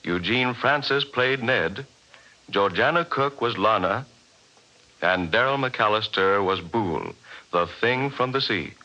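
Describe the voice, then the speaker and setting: A man's voice: fairly low pitch, lively intonation, a little slow, pushed and bright. Formal 1940s radio announcer, man. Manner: crisp, formal. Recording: dull old radio broadcast.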